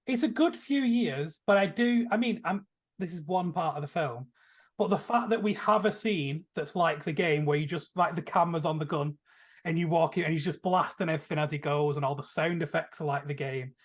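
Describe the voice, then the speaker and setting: A man's voice: fairly high pitch, a little fast. A man co-hosting a podcast in casual film chat, acting out imagined remarks as he explains. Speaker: podcast co-host.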